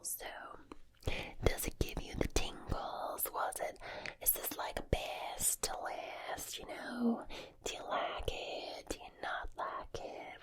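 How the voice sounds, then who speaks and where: A woman voicing an easygoing Southern country woman in whispered ASMR, with a drawn-out Southern US drawl. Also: a little high, bright, forceful tone, full character voice. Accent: put-on Southern US drawl. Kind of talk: whispered ASMR accent demonstration.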